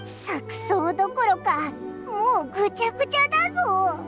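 Game companion role: tiny childlike fairy, piping high, blunt and excitable, informal speech. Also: female voice, a little slow, over music, heavily acted. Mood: exasperated. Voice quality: squeaky, childlike. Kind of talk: video game voice acting.

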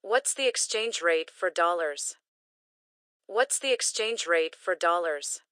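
A woman reading short English phrases slowly and carefully, each one repeated for learners to practice. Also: on the low side, animated, a bit bright, dry recording, clean studio sound. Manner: careful, clearly enunciated. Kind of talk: slow repeated phrase drills.

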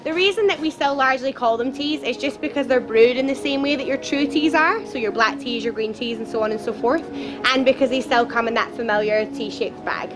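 A young woman guiding a tour group outdoors in a garden, with a loud, lively and chatty way of talking and playful jokes. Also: high voice, a little fast, music in the background. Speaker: tour guide. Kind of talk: guided tour talk to a group. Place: outdoor garden at a theme park. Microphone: camera a few steps away, no microphone.

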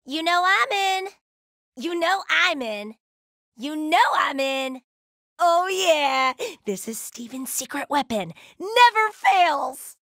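A brash young female alien brawler for a video game. Her voice is high and forceful, swinging widely in pitch. She talks slowly in a cocky, playful slang with drawn-out exclamations. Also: very animated, no room sound, studio quality, exaggerated voice. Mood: eager. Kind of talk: video game character voice lines.

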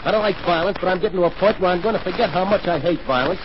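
A man's voice: sits high, rapid-fire, noisy background, close to an everyday voice. An American man playing an irritable, defensive songwriter in 1940s radio drama style. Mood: irritated, defensive. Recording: muffled old radio recording.

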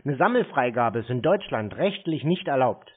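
A man's voice: medium pitch, big pitch swings, normal speed, slightly rough. A man speaking bluntly and insistently in an unscripted phone confrontation. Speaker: scam-baiting call host. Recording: tinny phone-like radio sound.

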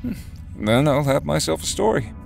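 A man's voice: on the high side, sing-song, normal speed, gravelly, over loud music, exaggerated voice. A man playing a probing, wry investigative reporter in an audio drama, casual and skeptical.

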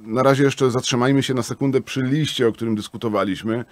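A man's voice: mid-range voice, fairly even intonation, quick pace, dry recording. A man in his forties hosting a radio interview, asking probing, challenging questions. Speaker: radio host. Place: small radio studio with acoustic panels. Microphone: studio microphone on arm.

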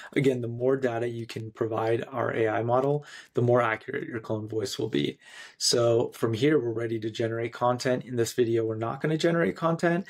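A man in his thirties narrating a screencast tutorial in an instructional, clearly phrased way. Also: medium pitch, darkish tone. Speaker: software tutorial presenter.

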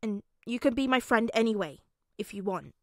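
A woman's voice: fairly high pitch, animated, moderate pace, a little mellow, no echo, studio quality. A woman voicing a proud, grumpy four-year-old boy in a story, blunt and pouting as the boy makes demands. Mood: pleased, proud.

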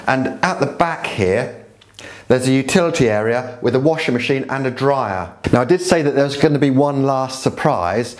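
A middle-aged man hosting a walking video tour of holiday flats, chatty and friendly as he shows rooms. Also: mid-range voice, moderate pace. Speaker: property video host. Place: seaside quay outdoors, then rooms of a flat.

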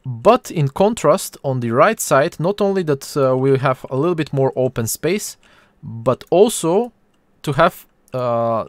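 A man with a Bulgarian accent hosts an informal live art lesson. His voice is mid-pitched and smooth, recorded very dry. He speaks haltingly, pausing often as he searches for words. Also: no room sound. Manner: halting, hesitant delivery with frequent pauses. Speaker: 3D artist hosting a stream.

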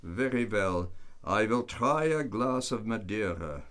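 A man's voice: on the low side, animated, slow, a bit bright. A man playing a sly, imperious Russian mad monk in a comic radio play, with formal, theatrical delivery. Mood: relishing, unhurried.

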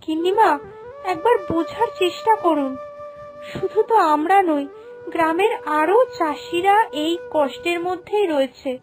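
A woman's voice: very high-pitched, normal speed, soft background music. A woman voicing an anxious, pious poor farmer's wife in a cartoon folk tale, with a plaintive, imploring manner. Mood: pleading. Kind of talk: Bengali animated folk-tale acting.

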